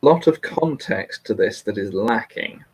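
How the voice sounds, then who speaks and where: A man talking casually through politics, in a measured, questioning way that hedges his claims. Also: lowish voice, lively intonation, a little mellow, breathy edge. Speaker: host discussing a political transcript. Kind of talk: casual conversational political discussion.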